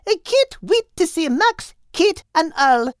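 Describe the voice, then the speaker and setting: Here is a sweet little female seagull from a storybook, played by a man. The voice is extremely high and leaps about in pitch, excitable and affectionate. It is captured close and dry. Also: slow, dry recording, exaggerated voice. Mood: eager.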